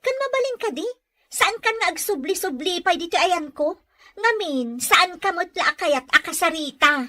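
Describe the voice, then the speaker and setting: Woman as high-voiced, blunt Ilocano housewife. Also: expressive, moderate pace, bright, forceful tone, no echo, heavily acted. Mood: irritated, scolding. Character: busy, proud, sharp-tongued village housewife. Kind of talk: Filipino audio drama dialogue.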